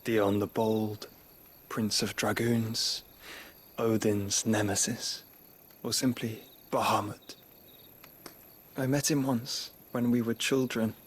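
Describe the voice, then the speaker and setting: A man's voice: fairly low pitch, level tone, takes it slowly, pauses often, husky. A man playing a weary, ailing, philosophical duke in a game cutscene, speaking in a formal, eloquent way. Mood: wistful, measured. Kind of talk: dramatic video game cutscene acting.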